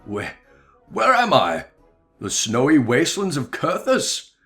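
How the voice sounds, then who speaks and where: A man voicing a pompous, oblivious gentleman detective from a video game in florid, theatrical declamation. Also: on the high side, huge pitch swings, slow pace, faint music behind, full character voice. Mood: grandiose. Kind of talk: dramatic reading of video game dialogue.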